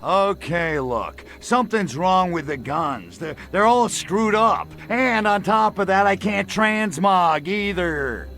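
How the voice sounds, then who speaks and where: A cocky alien invader from a video game, with a forceful, bright edge. He talks slangily and takes his time, swinging widely in pitch. Music plays behind him. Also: slow, few pauses, exaggerated voice. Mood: agitated, explaining. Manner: brash, slangy, expressive. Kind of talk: video game cutscene acting.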